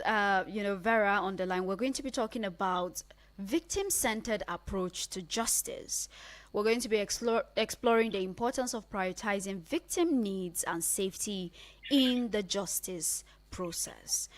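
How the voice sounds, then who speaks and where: A woman with a Nigerian accent presenting a radio talk show as its host. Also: medium pitch. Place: radio studio.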